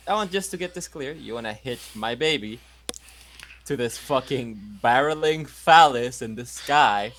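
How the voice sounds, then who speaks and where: Player, male, mid-pitched, excitable and profane, faint noise behind. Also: measured pace. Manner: excitable, swears a lot. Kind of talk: tabletop game over a call.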